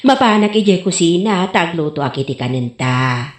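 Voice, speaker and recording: A woman's voice: lowish voice, expressive, normal speed, lightly acted. A woman with an Ilocano accent playing a doting, sugary-sweet sweetheart in a comedy radio drama. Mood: sweetly doting. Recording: roomy, echoing radio drama sound.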